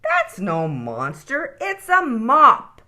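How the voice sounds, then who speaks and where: A woman voicing a patient, reassuring mother rabbit in a bedtime storybook, gently chiding as she explains. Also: a little high, wildly swooping pitch, very slow, pitched above the performer's own voice, heavily acted.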